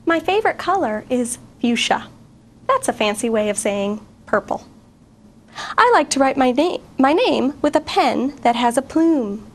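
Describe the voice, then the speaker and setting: A middle-aged woman teacher reading a picture book aloud to children, expressive and asking young listeners questions. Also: high-pitched, unhurried. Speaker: guest reader, teacher.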